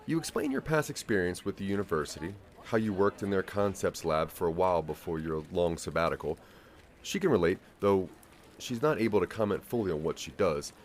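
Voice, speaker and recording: A man narrating a scripted audio drama in the second person, with a measured storytelling delivery. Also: sits low, lively intonation, slight background noise.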